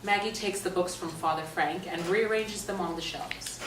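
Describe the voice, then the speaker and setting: Low-pitched woman narrator in echoey room. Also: normal speed. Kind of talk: reading stage directions aloud. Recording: echoey stage, distant mic, noisy room.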